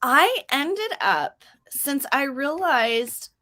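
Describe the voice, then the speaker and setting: A young woman hosting a writing stream in casual chat, telling stories lively and acting out quoted speech with big jumps in pitch. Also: drawn-out words.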